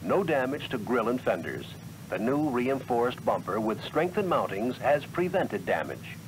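American man, lively 1970s sales-film announcer. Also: medium pitch, moderate pace, a little hiss or hum, low-quality recording. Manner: polished, emphatic announcer delivery. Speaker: promotional film narrator. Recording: vintage 1970s film soundtrack.

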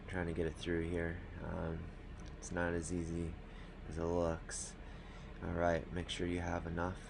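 A man calmly explaining a fishing knot step by step at a desk indoors. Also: deep voice, creaky, noisy background. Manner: calm, matter-of-fact, unhurried. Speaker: fly fishing tutorial host. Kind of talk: step-by-step instructional talk. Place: small indoor room at a desk.

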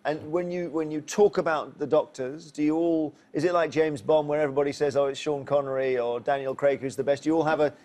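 A man's voice: fairly high pitch, fairly even intonation, fast, few pauses, a little mellow. A middle-aged man hosting a TV quiz show in a studio, chatty and jokey. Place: TV studio set.

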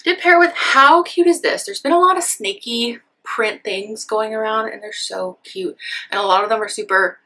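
A young woman with a very bright, rather high voice, bubbling over with enthusiasm as she chats through a try-on haul. She sounds a bit sniffly, with a sinus infection. She talks to a camera set a little way off in a room full of clothing racks. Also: normal speed, low-quality recording. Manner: bubbly and gushing, many "so cute" exclamations. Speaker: fashion haul vlogger. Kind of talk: casual try-on haul chat. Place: decorated bedroom studio with clothing racks.

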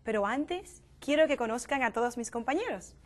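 High-voiced young woman hosting. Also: moderate pace. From Dominican Republic. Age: young adult. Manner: lively, laughs often. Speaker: host. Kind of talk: youth talk show hosting. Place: forest-themed TV studio set. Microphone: lapel mic.

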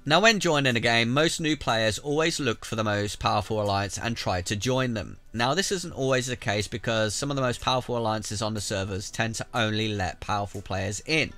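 A man's voice: on the low side, fairly quick, few pauses, a bit bright, faint music behind. A man with a cold, bunged up, casually narrating a game tutorial over gameplay and giving chatty, direct advice to viewers. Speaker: gaming YouTuber. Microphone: voice-over over gameplay capture.

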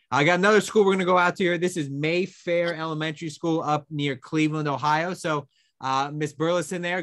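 A man's voice: fairly high pitch, a bit bright, no echo. A young man hosting a casual question and answer session from a small indoor studio. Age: young adult. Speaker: host.

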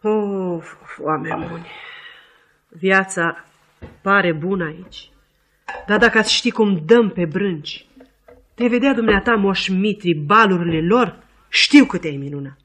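Radio drama servant: high voice, chatty, folksy, worldly-wise, period recording. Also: male voice, long pauses, a bit bright. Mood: gossipy. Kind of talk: Romanian radio theatre acting. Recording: 1950s radio theatre recording.

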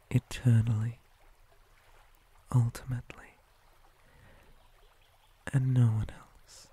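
A man voicing a possessive, menacing spider monster captor in an ASMR roleplay, mostly in a breathy whisper with deliberate, theatrical menace. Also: medium pitch, warm tone, full character voice. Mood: possessive, threatening.

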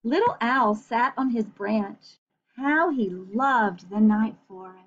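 A woman reading a picture book aloud for children in an expressive, gentle way, with chatty asides. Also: on the high side, takes it slowly. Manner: expressive, gentle, addressing young listeners. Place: small room at home. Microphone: computer webcam at arm's length. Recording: dull, muffled webcam recording.

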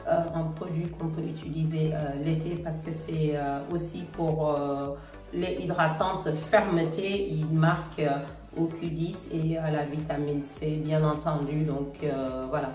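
A middle-aged woman with a cold and a slightly congested voice, chatting casually to camera about products with a relaxed, hesitant manner. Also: deep voice. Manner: relaxed, hesitant, many fillers. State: has a cold. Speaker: beauty vlogger. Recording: roomy, slightly distant, faint music.

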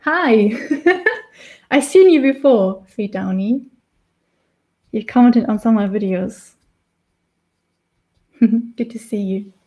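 German-accented woman in her thirties, warm and slightly high, relaxed chatty live-stream teacher. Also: slow, pauses often. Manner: chatty, laughs often, restarts while painting. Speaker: face painting tutor. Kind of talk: casual live-stream tutorial. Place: small indoor room with decorated wall.

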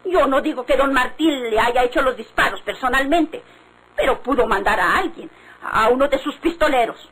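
A stubborn, suspicious Mexican village woman in an old radio drama. Her voice is fairly high and insistent, on a recording with faint background noise. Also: expressive, normal speed. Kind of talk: vintage Mexican radionovela acting.

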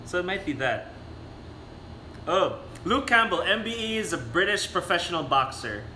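Young man from the philippines, animated chat, bright lively voice, echoey room, faint noise. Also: high-pitched, normal speed. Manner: animated, laughs often. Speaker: streamer and co-host. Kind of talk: casual live-stream chat. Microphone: phone.

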